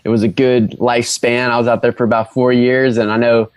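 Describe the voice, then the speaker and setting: A man in his twenties, a guest entrepreneur chatting casually, relaxed and a bit hesitant. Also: mid-range voice, a little fast, dry recording. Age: 20s. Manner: relaxed, hesitant with fillers and restarts. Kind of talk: casual interview chat.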